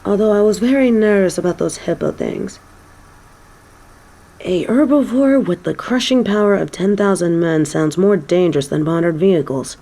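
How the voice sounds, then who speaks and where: A woman playing a snobby but secretly soft fallen angel in a scripted audio roleplay, with prim, formal phrasing. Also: on the low side, normal speed, close to an everyday voice. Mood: awed, chatty.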